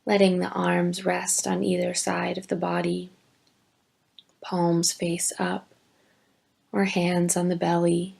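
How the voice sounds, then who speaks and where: A woman teaching yoga nidra, giving gentle, deliberate guided meditation instructions with long pauses. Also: lowish voice, monotone, gravelly, breathy edge. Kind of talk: slow guided meditation narration.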